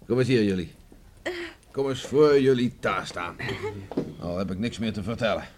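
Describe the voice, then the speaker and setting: An actor playing a gruff, teasing, good-natured middle-aged country father in a radio play. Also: lowish voice, normal speed, noisy background, natural voice. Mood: gruffly fond. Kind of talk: Dutch radio play acting.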